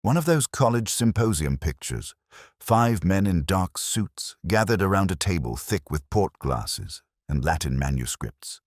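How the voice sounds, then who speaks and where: A man narrating a scripted detective story in the first person, with a husky voice and a measured, solemn, literary delivery. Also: deep voice, big pitch swings, dry recording, clean studio sound.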